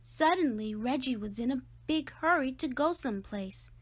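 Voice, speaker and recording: A woman narrating a children's storybook aloud in a deliberate, expressive read-aloud style. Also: high voice. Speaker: storybook reader.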